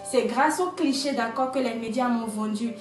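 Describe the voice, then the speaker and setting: A woman from Benin with a somewhat high voice speaks emphatically, laying out her points one after another. She is recorded from a distance in an echoey room, with faint music underneath. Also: moderate pace. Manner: emphatic, lists points, checks in with "d'accord". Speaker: interviewee. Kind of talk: structured explanatory talk.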